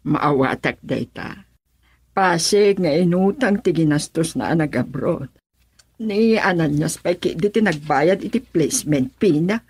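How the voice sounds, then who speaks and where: A woman's voice: deep voice, animated, unhurried, a little mellow, slightly rough, exaggerated voice. A woman playing a grateful, easily embarrassed elderly village mother in an Ilocano radio drama, speaking hesitantly. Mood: flustered, embarrassed.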